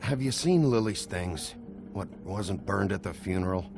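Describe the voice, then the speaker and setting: Low, gruff hard-boiled lawman. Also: animated, measured pace, full character voice. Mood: impatient, restrained. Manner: curt, weary, blunt. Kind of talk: video game voice acting. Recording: music behind the voice.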